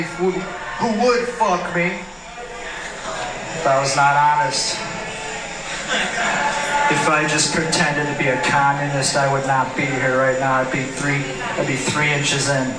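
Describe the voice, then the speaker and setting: A man's voice: a little high, fairly even intonation, takes it slowly, pauses often. Brash man doing stand-up in a noisy bar. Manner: brash, cocky swagger. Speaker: open mic comic. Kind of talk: stand-up comedy set. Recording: roomy distant bar recording with noise.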